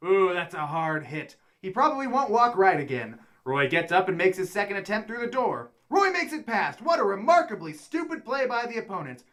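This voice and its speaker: A man playing a dramatic, excited sports announcer, giving mock play-by-play in a comic radio drama. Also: high voice. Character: frantic sports announcer calling an alien chase.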